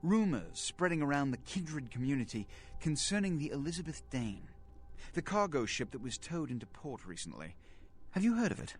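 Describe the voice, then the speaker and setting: An actor playing a cold, formal vampire leader who speaks with precise, condescending diction. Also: male voice, a little high, sing-song, moderate pace, slight background noise. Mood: briefing. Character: cold, imperious vampire prince, smooth businessman.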